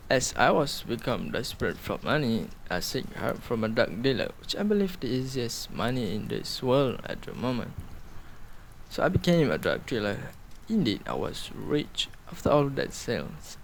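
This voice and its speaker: A man's voice: mid-range voice, gravelly, slight background noise, rough recording. An actor playing a manic twenty-year-old male drug addict in an amateur radio drama, rambling and repeating himself erratically.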